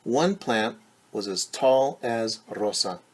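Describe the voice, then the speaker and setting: A man's voice: medium pitch, lively intonation, takes it slowly, slightly rough. A man teaching young children, reading a picture book aloud and patiently explaining it in simple terms with questions.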